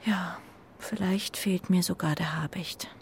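A woman playing a homesick, loyal carrier pigeon in a children's radio play, speaking in a dreamy, hesitant, breathy way. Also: on the low side, steady tone, measured pace, slight background noise. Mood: sad, hesitant.

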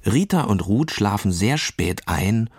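An expressive narrator reads a children's story at an unhurried pace. The voice is slightly husky and very animated, in a close, dry recording. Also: sits low, dry recording.

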